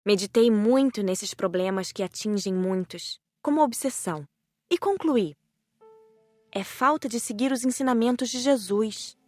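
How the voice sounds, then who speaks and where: A woman's voice: a little high, lively intonation, normal speed, darkish tone, no echo, faint music behind. A woman reading scripted first-person audiobook narration in a composed storytelling manner.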